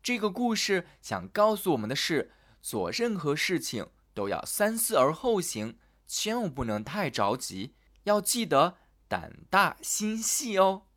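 A man narrating a fairy tale for children in a gentle, sing-song storytelling manner. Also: high-pitched, sing-song, measured pace.

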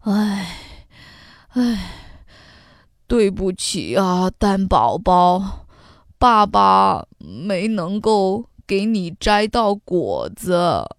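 A gentle, worn-out rooster father from a children's storybook sighs and hesitates with fond patience, as a woman acts him out. His speech is very slow and swings widely in pitch, close and dry, with soft children's music under it. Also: very animated, no room sound, full character voice. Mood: tired. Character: gentle, weary rooster dad, soft-hearted.

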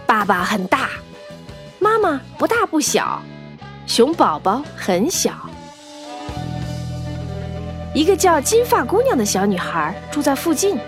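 A woman narrating a children's story as a scripted storyteller, with animated delivery. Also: high voice, takes it slowly, pauses often. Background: background music.

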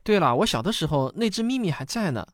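A man's voice: on the high side, sing-song, fast, slight background noise. A man playing a patient, kindly father who tells stories in a radio drama. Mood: reminiscing. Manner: warm storytelling, patient explaining. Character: patient, kindly father explaining family history to his daughter.

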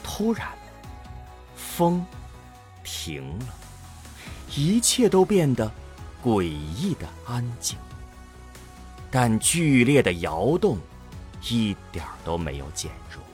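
A man's voice: mid-range voice, huge pitch swings, takes it slowly, long pauses, darkish tone, soft background music. A man narrating an audio drama from a script in a grave, dramatic manner.

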